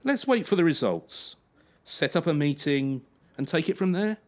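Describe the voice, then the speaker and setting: Actor doing cold patronising male coach, radio play, officious, highish voice, faint noise behind. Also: animated, moderate pace, close to an everyday voice. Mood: callous. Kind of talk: radio drama acting.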